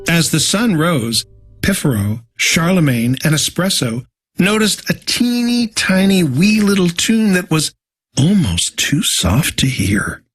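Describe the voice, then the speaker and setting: Animated, slow male storybook narrator over music. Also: on the high side, breathy edge. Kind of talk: animated scripted children's storybook reading. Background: instrumental music.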